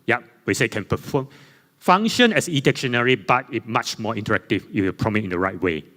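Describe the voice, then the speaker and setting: A man presenting slides at a conference panel, speaking English with Chinese as his first language, in a halting way full of fillers and self-corrections. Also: fairly low pitch, fairly bright tone. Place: conference hall. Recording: long reverb in a conference hall.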